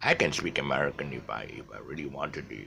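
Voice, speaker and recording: Gravelly older Malaysian man, chatty teacher. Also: very deep voice, fast, sharp tone, slight background noise. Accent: Malaysian English. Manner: chatty, digressive, many restarts. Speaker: English pronunciation teacher. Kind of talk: rambling casual lesson monologue.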